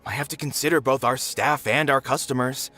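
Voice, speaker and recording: A man's voice: medium pitch, steady tone, a little fast, pushed and bright, soft background music, lightly acted. An actor playing an earnest, caring middle-aged male theater owner. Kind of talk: game voice acting.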